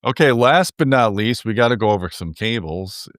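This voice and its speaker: An American man with a low, smooth and resonant voice hosts a casual chat. He sounds close and dry, with hardly any room on the recording. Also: lively intonation, moderate pace, no echo. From United States. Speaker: host, audio reviewer.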